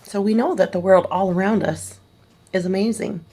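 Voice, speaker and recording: A woman reading a children's picture book aloud at a church service, gently and slowly, with small restarts. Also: medium pitch, a little hiss or hum. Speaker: story reader at a church service.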